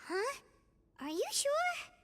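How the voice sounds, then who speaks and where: A woman voicing a tiny, excitable, childlike fairy companion in a video game, with a squeaky, emphatic and bossy delivery. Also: slow, background noise, exaggerated voice. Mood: surprised.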